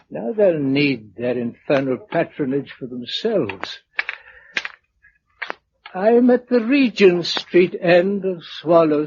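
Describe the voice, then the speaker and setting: A man's voice: fairly high pitch, darkish tone. An actor playing a proud, testy older blind man with an English accent and a brusque, indignant manner in a radio drama. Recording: dull, noisy old radio recording.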